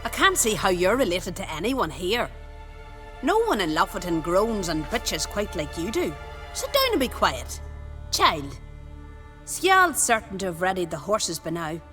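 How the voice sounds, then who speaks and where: Bright-voiced, no-nonsense village woman. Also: medium pitch, huge pitch swings, a little slow, soft background music, full character voice. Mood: exasperated. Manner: plain-spoken, bossy but kind. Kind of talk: video game dialogue acting.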